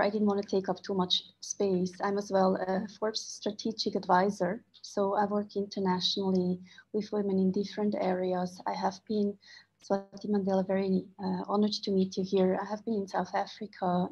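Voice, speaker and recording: A woman from Switzerland speaking on a video call as a panelist, earnest and measured, with frequent fillers. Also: mid-range voice, little pitch movement, darkish tone, slightly rough, dull audio. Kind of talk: panel discussion remarks.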